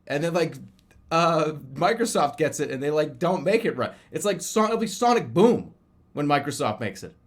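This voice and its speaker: A man's voice: on the high side, lively intonation, normal speed. Rambling man in his thirties, streaming casually. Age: 30s. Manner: rambling, repeats and restarts phrases. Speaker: live streamer. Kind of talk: casual livestream chat commentary.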